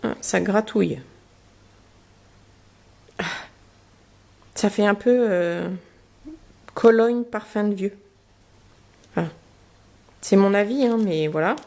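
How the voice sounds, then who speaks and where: A woman with a cold and a blocked nose, chatting through a beauty box review with many pauses and fillers and reading product cards aloud. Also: medium pitch, vocal fry, darkish tone. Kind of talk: casual unboxing review.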